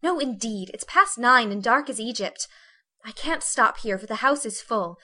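Woman putting on a soft, fretful young lady. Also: on the high side, animated, normal speed, voice pushed up. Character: genteel young woman, delicate and complaining.

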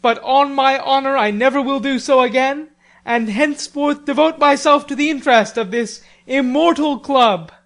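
A woman's voice: high-pitched, normal speed, pitched above the performer's own voice. A woman reading a charming teenage boy in a storybook, mock-humble, with an ornate, engaging manner. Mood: mock-contrite.

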